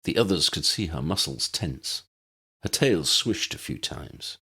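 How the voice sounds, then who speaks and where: Deep-voiced man narrating a story. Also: lively intonation, unhurried, bright, forceful tone, husky. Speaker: audiobook narrator.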